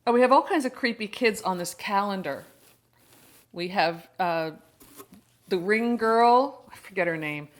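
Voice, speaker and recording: Chatty, expressive woman hosting a vlog. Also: medium pitch. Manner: expressive, exclamatory, thinking aloud. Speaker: host of a horror book channel. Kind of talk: casual chatty unboxing vlog.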